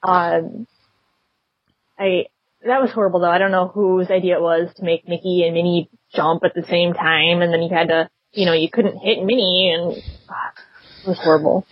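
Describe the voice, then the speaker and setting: A woman's voice: on the low side, fairly even intonation, normal speed, no echo. A woman from the Detroit area chatting on a podcast, rambling and hesitant as she searches for names. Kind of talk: casual chat. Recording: dull lo-fi online call.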